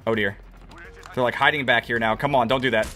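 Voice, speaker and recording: A young man giving excited gameplay commentary from his home setup on a headset, his voice cracking and hoarse as he swings between mutters and shouts. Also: medium pitch, brisk. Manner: hyped, exclamatory, jumps between mutters and shouts. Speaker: gaming YouTuber. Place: home gaming setup, indoors.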